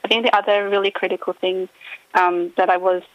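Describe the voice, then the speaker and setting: A woman's voice: medium pitch, level tone, fairly quick, dry recording, muffled recording. A woman author, answering interview questions thoughtfully, often hedging and trailing off. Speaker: author guest. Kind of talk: reflective radio interview answers.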